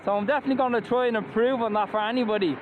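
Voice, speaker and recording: A man's voice: very high-pitched, fairly even intonation, racing, narrow, tinny audio. A man with an Irish accent chatting enthusiastically while riding an electric scooter outdoors, wearing a helmet and mask. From Ireland. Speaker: scooter reviewer. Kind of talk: casual ride-along vlog commentary. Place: outdoors on a moving scooter by roads. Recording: outdoor road noise. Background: traffic and wind.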